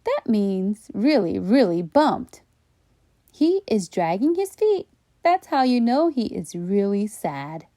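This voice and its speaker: A woman reading a storybook aloud for children in an expressive way, with playful asides. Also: mid-range voice, slow pace, no room sound, studio quality. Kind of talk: animated storybook read-aloud.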